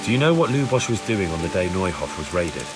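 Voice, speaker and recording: A man's voice: sits low, sing-song, quick pace. An actor playing an earnest, plain-spoken young man of medieval times in a video game, insistent and sincere. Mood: urgent, pleading. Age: young adult. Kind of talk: video game cutscene dialogue. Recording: game dialogue with music underneath.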